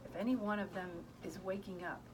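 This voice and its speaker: A woman's voice: medium pitch, fairly even intonation, fairly quick, noisy background, close to an everyday voice. A woman voicing a big, kindly, sly male giant in a storybook, with quaint broken grammar. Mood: confident, amused. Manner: quaint ungrammatical speech.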